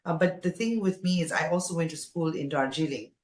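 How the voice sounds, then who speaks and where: A woman's voice: sits low, fairly quick, darkish tone. Effusive woman in an echoey room. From Nepal. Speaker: podcast guest, former radio jockey. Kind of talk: casual conversational interview. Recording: roomy, echoey sound.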